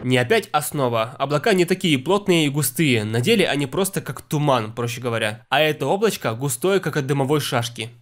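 A man's voice: fairly high pitch, lively intonation, fairly quick, few pauses. A man reading scripted commentary that picks at mistakes in a matter-of-fact way. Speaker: video critic narrating film mistakes.

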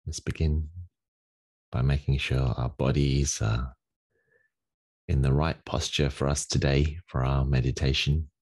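Very deep, gravelly male meditation guide. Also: fairly even intonation. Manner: gentle, unhurried instructions with long pauses. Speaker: meditation teacher leading a daily group session.

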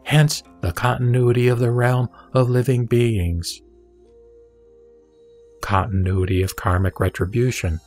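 A man reading scripture as narrator of an audio drama, in a solemn, measured and deliberate way. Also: medium pitch, fairly even intonation, a little mellow. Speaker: sutra reciter and narrator. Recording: background music under the voice. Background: music.

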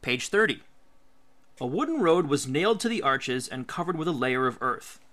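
Bright, animated man reading aloud. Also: on the high side, moderate pace. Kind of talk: scripted read-aloud of an illustrated history book.

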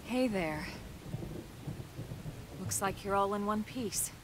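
A woman playing a composed, reassuring female sci-fi soldier in a video game cutscene, steady and matter-of-fact. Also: medium pitch, slow pace. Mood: calm, reassuring. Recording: faint rain and hiss behind.

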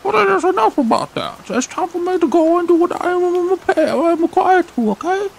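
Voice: very high voice, expressive, a little fast, vocal fry, a little hiss or hum, heavily acted. A performer voicing a chatty, apologetic, eager young woman who rambles and corrects herself in a comedic amateur redub. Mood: rambling. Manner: rambling, chirpy, self-correcting.

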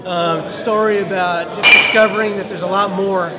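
Male actor, 30s, interview setting, fast high voice, busy indoor crowd. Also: steady tone, very noisy. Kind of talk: casual on-camera interview. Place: large indoor batting-cage facility. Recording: loud crowd chatter behind.

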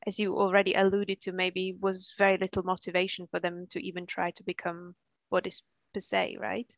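A woman's voice: lowish voice, monotone, fairly quick, gravelly, no room sound, dull audio. A woman moderating a webinar Q&A over a video call, polite and a little hesitant.

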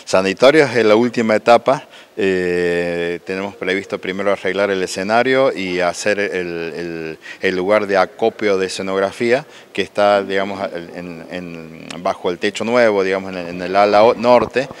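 A man's voice: low-pitched, a little hiss or hum. A man in his forties with an Argentine accent, interviewed indoors on a handheld mic, explaining plans with many hesitant fillers. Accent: Argentine Spanish. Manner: halting, many "eh" fillers and restarts. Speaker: municipal official interviewed. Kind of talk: local TV interview. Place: indoor event hall. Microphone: handheld reporter mic.

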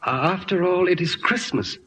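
A man playing a courteous, gently philosophical old Chinese restaurant owner in a radio drama, speaking formally and hesitantly. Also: sits high, lively intonation, normal speed. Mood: gentle, courteous. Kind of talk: old-time radio acting. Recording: noisy vintage radio recording.

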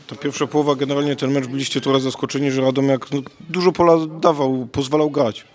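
A man's voice: mid-range voice, steady tone, fast. A man asking a player short post-match interview questions for a club channel.

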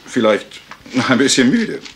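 Breezy young gentleman, lively intonation. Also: mid-range voice, a little slow, a little hiss or hum. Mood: offhand, weary. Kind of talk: German radio mystery play acting.

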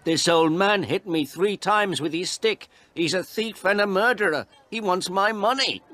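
A man's voice: high voice, normal speed, pushed and bright, dry recording, voice pushed up. A man voicing a dismissive, indignant photographer with a scornful, challenging manner in a storybook reading. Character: dismissive, indignant male photographer, quick to accuse.

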